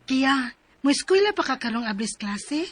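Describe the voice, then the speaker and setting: A woman's voice: high voice, big pitch swings, normal speed, fairly bright tone, faint music behind, full character voice. A young woman acting as an upbeat friend who is looking for domestic work, reassuring and breezy in a radio drama.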